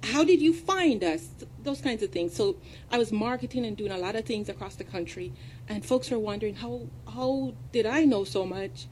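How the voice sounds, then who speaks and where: A woman's voice: fairly high pitch, expressive, moderate pace, a little mellow, slight background noise, low-quality recording. A woman from Jamaica with a Jamaican accent touched by British tones, a composed, articulate radio guest. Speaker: guest, consultant and adjunct professor. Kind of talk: radio interview talk. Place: radio studio.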